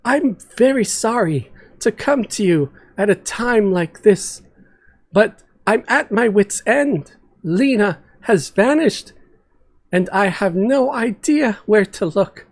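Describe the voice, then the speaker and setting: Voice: medium pitch, expressive, takes it slowly, pitched above the performer's own voice. A man voicing an anxious, polite woman in a fantasy story, apologetic and beseeching. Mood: worried, pleading.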